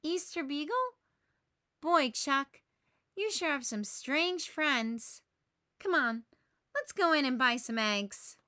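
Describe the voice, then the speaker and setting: Woman doing bossy storybook tomboy girl, high pitch, bright, emphatic. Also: expressive, slow pace, long pauses, full character voice. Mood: blunt. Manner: brash, emphatic.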